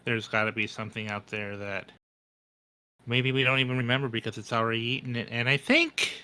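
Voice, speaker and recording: Bright, crisp-voiced man thinking aloud. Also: mid-range voice, animated, low-quality recording. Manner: halting, repeats words as he thinks aloud. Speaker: tabletop game player. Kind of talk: casual roleplay and table chat.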